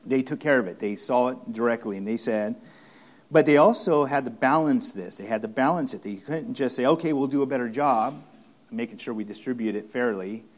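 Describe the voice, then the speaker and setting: A man preaching an expository sermon, speaking haltingly with many restarts, fillers and repeated phrases for emphasis. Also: mid-range voice. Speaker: pastor.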